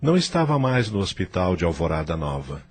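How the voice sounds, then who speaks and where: A man's voice: low-pitched, very animated, a little fast, over loud music. A man with a Brazilian accent narrating a scripted audio novel.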